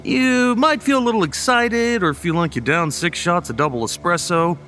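A man's voice: sits high, normal speed, fairly bright tone, slight background noise. A young man playing a cocky, goofy college student in an audio horror drama, flippant and casual. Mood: reassuring, playful. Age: young adult. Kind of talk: radio horror drama acting.

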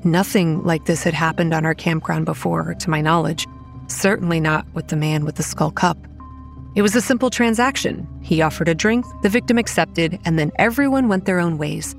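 An actress playing a wary, anxious young campground manager who narrates a horror audio drama in the first person. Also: female voice, on the low side, moderate pace, gravelly, soft background music. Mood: wary narration.

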